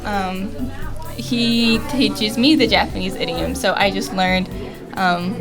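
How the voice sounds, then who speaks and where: A woman's voice: medium pitch, steady tone, slow pace. A woman chatting casually as a guest in a live interview, explaining things with simple examples. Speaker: guest, English teacher with an online show. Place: live radio broadcast at a market pop-up event. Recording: loud music behind. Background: loud music.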